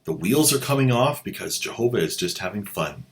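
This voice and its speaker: Low-ish guy host doing snarky commentary, dry jokes. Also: lively intonation, a little fast, slight background noise. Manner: wry, mocking asides. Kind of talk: sarcastic commentary.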